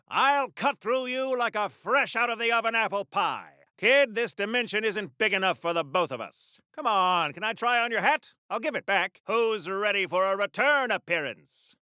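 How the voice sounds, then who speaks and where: An actor playing a bombastic, patriotic 1940s super soldier hero for a video game, with hammy, old-time radio serial announcer delivery. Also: male voice, high-pitched, sharp tone, dry recording, studio quality, exaggerated voice. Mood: defiant, heroic, then breezy, cocky, then triumphant, cheerful. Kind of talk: video game voice lines in golden age radio serial style.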